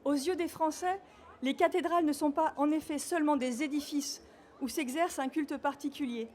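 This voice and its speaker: A woman from Normandy giving a measured, solemn official speech at a ceremony. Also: sits high, steady tone, darkish tone. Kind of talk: formal read speech.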